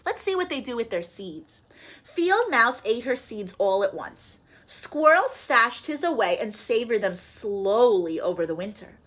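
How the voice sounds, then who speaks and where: Animated high-voiced woman reading to children. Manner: animated, sing-song, questioning, addressing children directly. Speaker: children's librarian leading a musical storytime. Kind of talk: storybook read-aloud and talk to young children. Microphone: video call.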